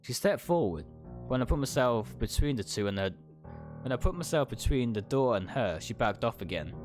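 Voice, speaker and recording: A man's voice: medium pitch, sing-song, normal speed, darkish tone, close to an everyday voice. A man voicing an obsessive, possessive young woman in a visual novel, coaxing yet commanding. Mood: spiteful, gloating. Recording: music behind the voice.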